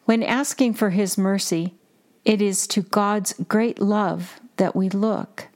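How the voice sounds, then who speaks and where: A woman guiding contemplative prayer, reading in short, measured and deliberate phrases. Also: mid-range voice, fairly even intonation, darkish tone. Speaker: prayer guide and podcast host. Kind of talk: slow, repetitive guided contemplative prayer reading. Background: music under the voice.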